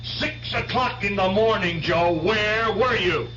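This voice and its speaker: High, sharp insistent interrogator. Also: male voice, slow pace, soft background music, exaggerated voice. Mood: pressing, accusing. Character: probing, insistent male questioner pressing a suspect. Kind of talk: radio drama acting. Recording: dull old radio broadcast.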